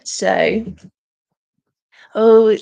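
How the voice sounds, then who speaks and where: Croaky woman chatting on a livestream. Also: on the high side, drawn-out words. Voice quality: croaky, gravelly. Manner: chatty, warm thanks, frequent ums. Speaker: channel host. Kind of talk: casual livestream Q&A chat.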